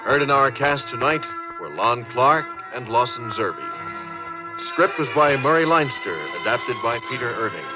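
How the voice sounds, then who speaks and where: American radio announcer over music, tinny. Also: male voice, medium pitch, expressive, moderate pace. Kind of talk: 1950s radio announcing, scripted credits. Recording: tinny 1950s radio recording.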